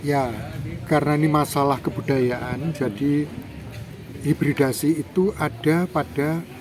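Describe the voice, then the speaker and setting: Male guest from Java, lecturing interview style, somewhat high voice, recorded outdoors. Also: rough recording. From Java, Indonesia. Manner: lecturing, emphatic, lively rises in pitch for examples. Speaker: cultural expert guest. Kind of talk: explanatory interview talk.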